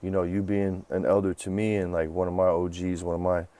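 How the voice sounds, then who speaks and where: A man's voice: low voice, monotone, brisk, dark tone, dry recording. A man in his thirties hosting a podcast interview, chatting casually and effusively in slangy speech full of "bro" and "man". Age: 30s.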